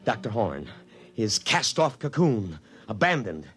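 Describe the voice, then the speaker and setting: A man acting a pompous, excitable army officer in a blustering, dramatic 1950s radio drama style. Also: fairly low pitch, unhurried, slightly rough, soft background music, exaggerated voice. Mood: tense, rueful narration. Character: pompous, excitable male army officer narrating his downfall.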